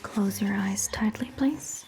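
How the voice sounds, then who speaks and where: A woman playing a caring vampire doctor in an ASMR roleplay, whispering gently and reassuringly in a breathy, hushed voice. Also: medium pitch, natural voice. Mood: gentle, reassuring. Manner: gentle, slow, reassuring, softly questioning. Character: caring, attentive female vampire doctor tending a patient. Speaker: ASMR performer. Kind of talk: soft-spoken ASMR roleplay, mostly whispered.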